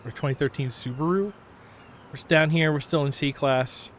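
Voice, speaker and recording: A man chatting casually on a gaming livestream, thinking aloud in a halting way. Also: fairly high pitch, slight background noise. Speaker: game livestreamer.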